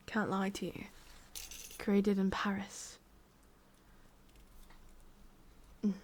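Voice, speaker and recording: A woman acts out a sadistic demon-world boss in an intimate ASMR roleplay. Her English-accented voice is breathy and slips often into whispers. She taunts and condescends, wrapping menace in mock tenderness. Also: mid-range voice, dark tone, natural voice. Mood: cold, teasing. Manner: slow, taunting, condescending, with pauses and sighs.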